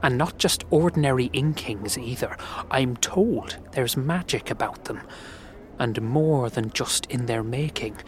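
A man voicing a friendly, gentle, earnest slum dweller in a fantasy audio drama, with a folksy, hesitant way of talking. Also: medium pitch, measured pace, a little hiss or hum, lightly acted. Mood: earnest, informative.

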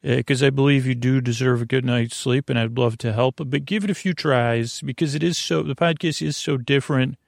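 A man hosting a bedtime podcast in a rambling, halting, gently silly monologue, trailing off mid-thought in a gravelly voice. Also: mid-range voice, steady tone, no room sound, clean studio sound. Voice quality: creaky, gravelly. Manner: deliberately dull, gently silly. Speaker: podcast host.

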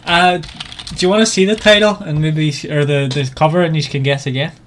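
A man co-hosting a casual book club podcast, speaking in a hesitant, halting way. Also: fairly high pitch, steady tone, a bit bright, a little hiss or hum. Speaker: podcast co-host.

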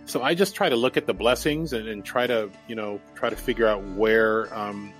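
A man's voice: lowish voice, normal speed, fairly bright tone, faint music behind, poor audio. A man in his forties, a native Japanese speaker, a guest in an interview who tells his life story candidly and laughs easily.

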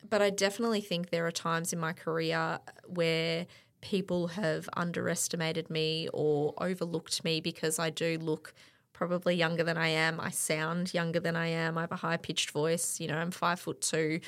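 An Australian woman in her thirties talks through her career in a relaxed podcast chat. Her voice sits a little low, with a gravelly, creaky edge. She reflects openly and drops in plenty of ums along the way. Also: moderate pace. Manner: candid and reflective, with frequent fillers. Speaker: interview guest, sports event operations director. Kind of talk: casual podcast conversation.

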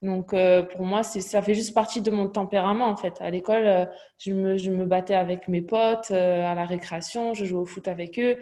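A woman in her thirties with a southwestern Toulouse accent, heard over a video call. Her voice is mellow and warm, and her mid-pitched delivery is lively, full of small hesitations and restarts. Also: normal speed. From southwest France, near Toulouse. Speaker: guest, sports coach. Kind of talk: casual remote interview.

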